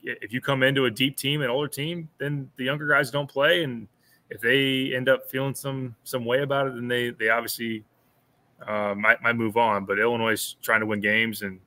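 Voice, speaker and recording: A man's voice: medium pitch, bright, forceful tone. A man in his thirties co-hosting a sports podcast, breaking down a game in a thoughtful way, often restarting and repeating words. He talks from a small room at home over a video call, wearing headphones. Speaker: podcast co-host, sports analyst. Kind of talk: casual postgame analysis. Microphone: over-ear headphones with a webcam on a video call.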